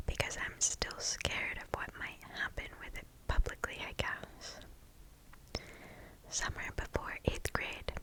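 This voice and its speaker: ASMR storyteller, female. Hushed whisper throughout, unhurried and deliberate, right up on the mic. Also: poor audio. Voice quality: breathy unvoiced whisper. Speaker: ASMR host reading listener stories. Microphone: close microphone.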